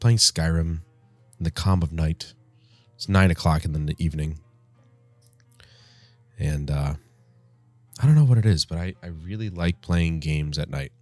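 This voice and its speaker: A man hosting a casual gameplay commentary, rambling and wryly griping, his voice congested and strained from yelling. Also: a bass voice, a little slow, long pauses, no echo, faint music behind. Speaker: gaming show host. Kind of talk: casual let's play commentary. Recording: clear background noise.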